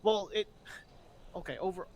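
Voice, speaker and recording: A man's voice: high voice, measured pace, vocal fry. A man in casual role-play game chat, grumbling bluntly with plenty of swearing. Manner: blunt, profane, grumbling rant.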